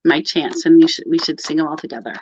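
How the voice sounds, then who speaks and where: A woman's voice: low voice, steady tone, fast, a little mellow, slight background noise. A woman co-hosting a pagan livestream, chatting casually and laughing often as she rambles through the topic. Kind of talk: casual group chat on a live video call. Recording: dull dry video-call audio.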